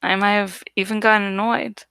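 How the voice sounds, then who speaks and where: A woman reading a novel aloud as dramatic first-person narration, with deliberate, suspenseful phrasing. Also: mid-range voice, little pitch movement, gravelly, pushed and bright, clear, resonant tone, dry recording, studio quality. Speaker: audiobook reader.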